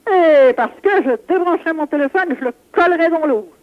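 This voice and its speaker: A woman's voice: extremely high pitch, brisk, dry recording. A bewildered, hesitant and polite woman in a casual phone conversation, answering a caller. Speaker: prank call victim. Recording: dull lo-fi telephone line.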